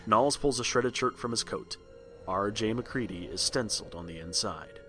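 A man's voice: fairly low pitch, moderate pace, fairly bright tone, faint music behind. A man reading a scripted storybook narration for a read-along record.

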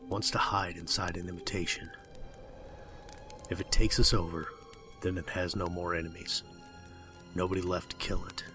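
An actor playing a grim, suspicious leader of an isolated camp in a horror film, speaking in terse, menacing statements. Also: male voice, deep voice, close to an everyday voice.

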